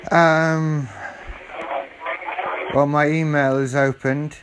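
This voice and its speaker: A man chatting casually on a phone call, acting slow, apologetic and easily confused. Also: fairly high pitch, level tone. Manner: feigned slow, apologetic and muddled. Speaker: call recipient stringing a scammer along. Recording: phone call recording with faint noise.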